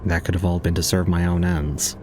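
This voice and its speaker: A man's voice: low voice, little pitch movement, fairly quick, background noise, lightly acted. A man playing an aloof young man, narrating an audio drama in a formal, detached, cold way. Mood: cold, dismissive.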